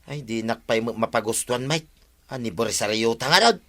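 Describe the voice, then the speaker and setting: A man's voice: mid-range voice, fairly quick, close to an everyday voice. A man with an Ilocano accent playing a sociable, pleading village husband in a radio comedy drama, talking emphatically.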